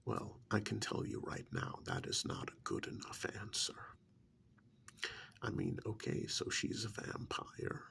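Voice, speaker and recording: A man playing a chatty, gently scolding vampire envoy in a soft-spoken ASMR role-play, with a breathy whisper and deliberate pauses. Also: lowish voice, huge pitch swings, full character voice. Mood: gently reproachful. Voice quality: breathy whisper, gravelly fry. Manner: slow, deliberate, drawn-out pauses, conversational.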